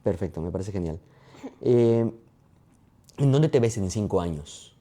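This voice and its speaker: A man hosting an interview podcast, firing off quick either-or questions to his guest. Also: on the low side, expressive, mellow. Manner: quick rapid-fire questions. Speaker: podcast host.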